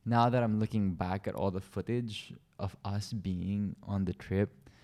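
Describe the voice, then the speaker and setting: A young man from the Philippines chats on a couple's podcast in a low, mellow voice. He keeps things loose and teasing and wanders through his thoughts. The sound is relaxed and informal. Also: a little slow. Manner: easygoing, joking, talks in loose run-on thoughts. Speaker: podcast co-host. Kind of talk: casual couple's podcast chat.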